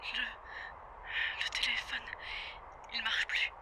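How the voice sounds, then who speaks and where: A woman's voice: takes it slowly, bright, forceful tone. An actress playing an anxious, frightened wife in a naturalistic audio drama, hesitant and repeating her words. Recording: background noise under the voice.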